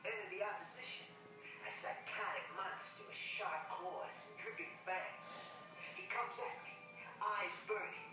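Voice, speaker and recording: A man voicing a cocky, laid-back streetwise dog with slangy, smooth talk in a storybook dramatization. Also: high voice, fairly even intonation, unhurried. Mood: boastful. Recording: echoey distant playback with music behind.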